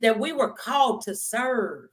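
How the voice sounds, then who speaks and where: A woman's voice: fairly high pitch, expressive, unhurried, fairly bright tone. A woman hosting a conversational faith talk interview, speaking in a folksy, emphatic way with preacherly asides. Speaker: talk show host.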